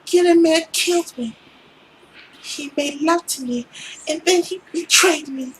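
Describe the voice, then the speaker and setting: A high, insistent young woman's voice, put on by a man coaxes a friend toward revenge. She sounds manipulative, in the manner of a visual novel ghost. Faint noise is behind her. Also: unhurried, a bit bright, rough recording. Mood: coaxing.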